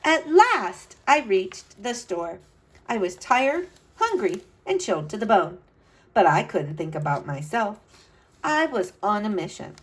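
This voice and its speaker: A woman's voice: mid-range voice, sing-song, unhurried. A woman reading a storybook as an earnest, adventurous young boy who tells his own tale dramatically. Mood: determined.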